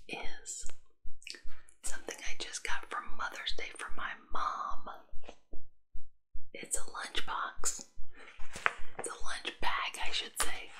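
A woman in her forties speaks in a breathy, unvoiced ASMR whisper, very close to a tabletop mic. Her delivery is slow and gentle, broken into short, halting phrases. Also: poor audio. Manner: soft, unhurried, halting phrases. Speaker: ASMR video creator. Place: small room indoors with a wood-panel backdrop. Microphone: close tabletop microphone.

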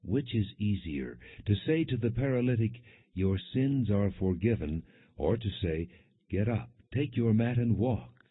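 A man reading scripture as an audiobook narrator, in a solemn, measured storytelling delivery with expressive quoted speech. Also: lowish voice, slightly rough. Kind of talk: solemn scripted Bible reading, non-dramatized. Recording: muffled, phone-like narrow band.

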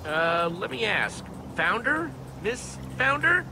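A man's voice: very high-pitched, big pitch swings, takes it slowly, vocal fry, background noise, full character voice. A man voicing an earnest, plucky young adventurer hero in a video game, speaking eagerly and expressively. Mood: relieved, concerned. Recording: music under the dialogue.

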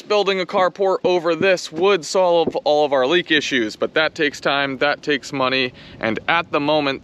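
A man's voice: mid-range voice, clear, resonant tone. A man in his thirties, a vlogger, talking casually to the camera he holds at arm's length while working outdoors on a camper roof. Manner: relaxed, practical, talks straight to camera. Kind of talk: casual explaining how-to vlog. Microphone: camera held at arm's length.

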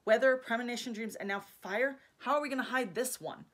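A woman's voice: a little high, brisk, fairly bright tone, voice pushed up. A woman reading the part of a blunt young man who sounds exasperated.

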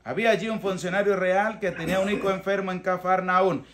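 A man's voice: high voice, fairly even intonation, fairly quick, fairly bright tone, a little hiss or hum. A man in his thirties with a Venezuelan accent, a priest giving a plain, colloquial homily in an emphatic, teaching manner with rhetorical questions. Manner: emphatic, didactic, asks questions and answers them himself. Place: small rustic wooden room or shelter. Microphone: clip-on lapel mic.

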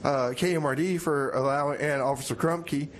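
A man's voice: fairly high pitch, fairly even intonation, normal speed, close to an everyday voice. A man playing a flustered jailed radio host, noisy background. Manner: hesitant, stammering. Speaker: radio music show host. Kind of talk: comedy radio theater, hosting banter.

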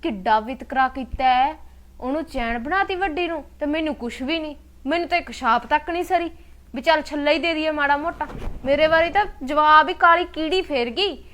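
A woman with a Punjabi accent playing a jealous, resentful, sharp-tongued younger daughter-in-law in a family drama. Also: high voice, a little fast. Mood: bitter, resentful. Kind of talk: colloquial domestic drama acting.

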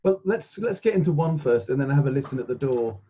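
Quick man, very dark husky voice, casual game talk, dull muffled call. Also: mid-range voice, animated. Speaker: roleplaying game player.

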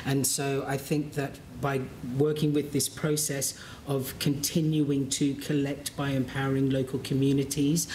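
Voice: little pitch movement, a little slow, hardly any pauses, a little mellow. A panelist speaking earnestly in a panel discussion, sometimes searching for words. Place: large conference room. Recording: echoey room, faint rumble.

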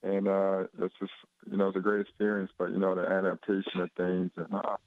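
Young male athlete guest on a dull phone line, deep and monotone, easygoing and rambling. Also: brisk, no echo. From Chicago, USA. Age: 20s. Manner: laid-back, rambling with many fillers. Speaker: basketball player guest. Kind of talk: casual phone interview.

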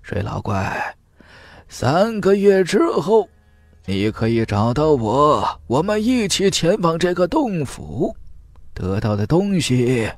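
A man voicing a scheming, wheedling old male cultivator with a croaky, drawn-out delivery for a fantasy audiobook. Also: on the high side, big pitch swings, dry recording, voice pushed up, full character voice. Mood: sly wheedling.

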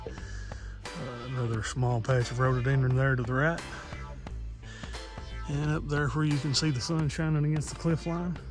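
A young man talks through a woodland hike in an easygoing, practical way, dropping frequent "uh" fillers. He is recorded outdoors on a phone held at arm's length. Faint music sits quietly underneath. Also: mid-range voice. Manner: relaxed, practical, many "uh" fillers. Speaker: hiking vlogger. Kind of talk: casual outdoor narration. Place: forest trail outdoors.

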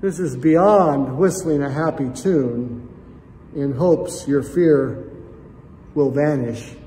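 An older male pastor gives a slow, thoughtful sermon to camera from his church sanctuary. His voice sits high for a man, and a long reverb and faint room hiss trail behind it. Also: warm tone. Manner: reflective, addresses listeners as friends, gestures with both hands. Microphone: camera at chest height, seated facing it.

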